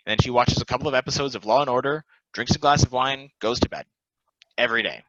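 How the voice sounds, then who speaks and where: A philosophical, self-deprecating immortal ballplayer acted by a man in a casual play reading. The voice is mid-pitched and bright, with a rambling, confiding delivery. The recording is dry and slightly dull. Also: quick pace, no room sound, lightly acted. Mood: confiding, reflective. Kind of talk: casual table read of a stage play.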